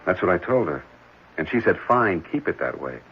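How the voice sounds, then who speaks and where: An actor playing a gentle, earnest married foster father in a 1970s radio drama, speaking hesitantly and reassuringly. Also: deep voice, big pitch swings, normal speed. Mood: pensive. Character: gentle, caring married man fostering an orphan boy. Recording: muffled, noisy old radio broadcast.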